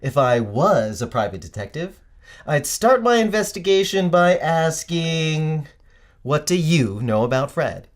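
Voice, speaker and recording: A man's voice: a little high, big pitch swings, measured pace. A man playing an eager, helpful young amateur detective in an audio drama, casual and given to musing aloud. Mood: musing, curious.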